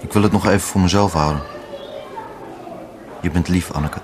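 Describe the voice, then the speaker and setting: An actor playing a wistful man clinging to his former lover, gentle and musing, in a naturalistic radio drama. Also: deep voice, moderate pace, noisy background, natural voice. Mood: resigned, tender.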